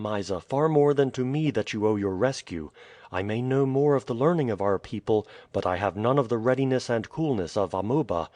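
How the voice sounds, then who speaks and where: A man's voice: medium pitch, darkish tone, dry recording, close to an everyday voice. A man voicing an earnest, grieving young man in an audiobook, speaking in a solemn, earnest way. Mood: reassuring.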